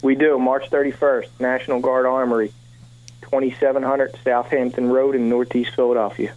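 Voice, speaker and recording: Mellow-voiced man calling into radio. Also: mid-range voice, flat delivery, highs cut off. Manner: earnest, measured, with frequent fillers. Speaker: guest, veterans memorial organizer. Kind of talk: radio interview.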